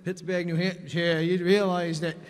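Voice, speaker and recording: A man's voice: high voice, level tone, moderate pace. A man from Vermont, a fiddler and bandleader, telling easygoing stories to a concert audience between tunes, with plenty of "uh"s. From Vermont, New England. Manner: easygoing and folksy, with many "uh"s. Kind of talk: storytelling stage patter between tunes. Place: concert stage. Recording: long reverb of a concert hall.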